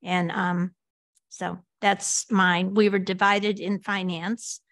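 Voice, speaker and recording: An American woman speaking as a board director, giving measured remarks in a public meeting. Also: mid-range voice, little pitch movement, a bit bright, no echo, studio quality.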